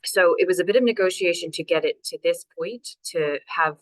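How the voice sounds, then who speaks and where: A woman's voice: on the low side, fairly quick, no echo, low-quality recording. A woman in her thirties with a Canadian accent, giving a chatty webinar talk on a video call about her local authority's climate work, with lots of fillers. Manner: chatty, self-deprecating, many fillers. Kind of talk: webinar presentation.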